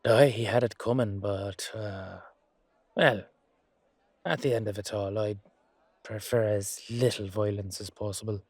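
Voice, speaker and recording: A man's voice: on the low side, steady tone, measured pace, long pauses, a little mellow, breathy edge, dry recording. A man acting a humble, weary knight with a wry, casual, hesitant manner in an amateur fantasy audio roleplay. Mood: hesitant, reluctant.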